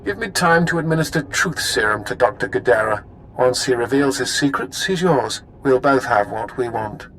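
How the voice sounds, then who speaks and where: A cunning enemy agent, as a man plays him, voiced at a middle pitch, calm, smooth and formal. He sounds measured and untroubled, with a faint hum behind him. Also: lightly acted, rough recording.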